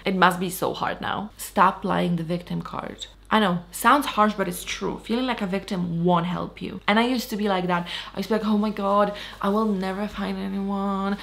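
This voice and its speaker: Gravelly-voiced woman in her thirties. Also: medium pitch, fairly bright tone. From Poland. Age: 30s. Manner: frank, blunt, swears casually. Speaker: lifestyle vlogger. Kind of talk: casual motivational talk to camera.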